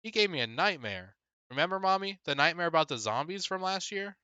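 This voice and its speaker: A man's voice: a little high, fairly quick, clear, resonant tone, dry recording, muffled recording, voice pushed up. A man voicing a small, stubborn, whiny second-grade boy in a storybook reading. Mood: excited, spooked. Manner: whining, excitable.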